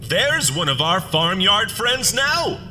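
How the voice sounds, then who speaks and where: High, swooping theatrical show host. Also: male voice, big pitch swings, sharp tone, in a large hall, a little hiss or hum, exaggerated voice. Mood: folksy cheer. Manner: theatrical, slow, folksy. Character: sinister otherworldly captor playing folksy cheerful farm show host. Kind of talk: hokey children's radio show acting.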